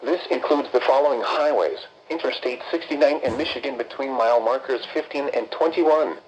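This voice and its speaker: A synthetic male announcer reads formal emergency weather bulletins in an impersonal, measured way with clipped, list-like phrasing. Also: mid-range voice. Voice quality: synthetic text-to-speech voice. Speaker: automated weather radio announcer. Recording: dull, noisy weather radio broadcast.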